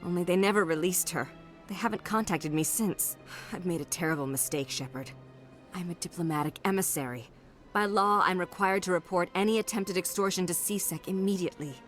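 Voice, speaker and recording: Game diplomat played by a woman: wealthy, anxious, measured and formal, lowish female voice, music behind. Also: close to an everyday voice. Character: wealthy, anxious alien diplomatic emissary pleading for her sister. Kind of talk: video game dialogue acting.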